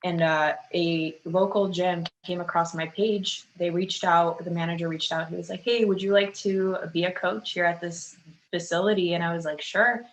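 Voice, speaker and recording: A woman's voice: on the low side, steady tone, normal speed. A woman from Chicago talking casually and candidly as a fitness coach being interviewed. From Chicago, United States. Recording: echoey room with faint background noise.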